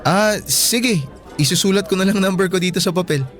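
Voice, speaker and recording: A man's voice: high-pitched, brisk, over music, close to an everyday voice. Man playing an easygoing, curious teenage schoolboy. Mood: friendly, casual. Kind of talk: radio drama acting.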